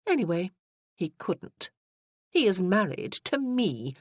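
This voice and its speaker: A woman's voice: mid-range voice, sing-song, slow pace, dry recording, studio quality, pitched above the performer's own voice. A narrator voicing a haughty, scornful woman who mocks her rival. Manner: sneering, mocking. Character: scornful sharp-tongued woman, haughty and mocking.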